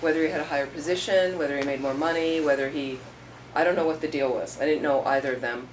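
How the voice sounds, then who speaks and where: A woman with a low voice gives a relaxed teaching talk, chatting through stories and slipping into the voices of the people in them. She is heard from across the room on a distant mic, with a faint hum of room noise behind her. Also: quick pace. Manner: conversational, storytelling with acted-out quotes. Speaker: Buddhist teacher. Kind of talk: informal dharma talk.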